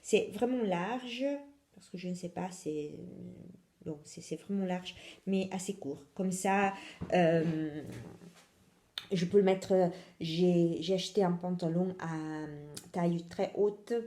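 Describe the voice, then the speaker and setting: A woman's voice: fairly low pitch, takes it slowly, mellow, echoey room. A young woman from Italy with an Italian accent, hosting a casual knitting podcast in French, hesitant with many fillers and self-corrections.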